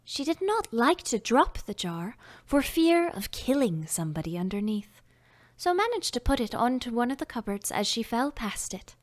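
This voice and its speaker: A woman reading a children's classic aloud as an audiobook narrator, unhurried and expressive, often dropping into a soft whisper. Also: a little high. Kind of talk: scripted storybook narration.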